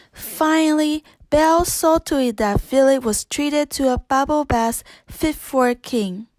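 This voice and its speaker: High-pitched woman narrating a children's story. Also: darkish tone, dry recording. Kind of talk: children's read-along narration.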